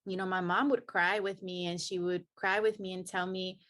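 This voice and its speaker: A woman's voice: fairly low pitch, flat delivery, moderate pace, fairly bright tone. A woman from El Salvador, a podcast guest, earnestly and reflectively telling her personal story of healing. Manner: earnest and reflective, many restarts.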